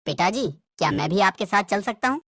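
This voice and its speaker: A high, childlike voice of a curious young village boy, acted by a woman, pleading and insistent. The recording is clean and even, as in a cartoon studio. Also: a little fast, no room sound. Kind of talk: Hindi cartoon dubbing.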